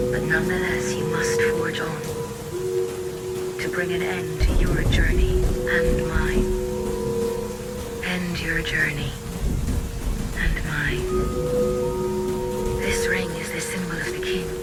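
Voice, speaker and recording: A woman playing a mysterious, sorrowful guide to a cursed traveler in a dark fantasy game, speaking softly and breathily with grave, measured solemnity over music and rain. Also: on the low side. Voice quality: soft, breathy, whispery. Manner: measured, grave, cryptic delivery. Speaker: video game character voice actor. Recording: loud music and rain under voice. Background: loud ambient music and falling rain.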